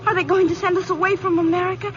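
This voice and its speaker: A woman's voice: extremely high pitch, flat delivery, rapid-fire. A woman playing an anxious, earnest ten-year-old refugee girl in an old radio drama. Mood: frightened. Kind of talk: 1940s radio acting. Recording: noisy, muffled old radio recording.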